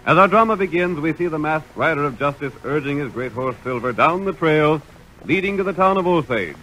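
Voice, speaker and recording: A man's voice: fairly high pitch, animated, a little fast, bright, forceful tone, slight background noise, rough recording. A man with an American accent narrating an old-time radio western in a dramatic, stately style. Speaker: announcer and narrator. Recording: vintage radio recording.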